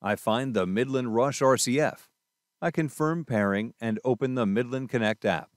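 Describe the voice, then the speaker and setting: A man's voice: mid-range voice, moderate pace, no echo, clean studio sound. A man presenting a product tutorial, narrating scripted instructions step by step in a methodical way.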